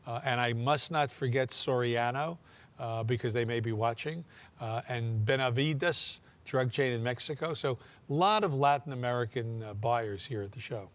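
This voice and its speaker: A man with an ordinary mid-range voice answers interview questions in a measured, unhurried way. He pauses often with "uh" and slips in a dry joke now and then. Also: mid-range voice. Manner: measured, with frequent "uh" fillers and dry humor. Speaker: president of a trade association.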